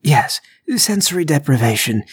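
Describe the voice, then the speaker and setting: A man voicing a nervous burglar in a tabletop game, halting and stammering as he bluffs and over-explains. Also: a little high, lively intonation, husky, voice pushed up. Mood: bluffing, earnest.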